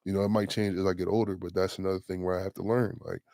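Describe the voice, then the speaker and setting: A young man in his twenties, a rapper with a deep voice, talking casually and loosely in an interview. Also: level tone, dark tone, no echo, clean studio sound. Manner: laid-back, slangy, drawn-out affirmations. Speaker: rapper, guest.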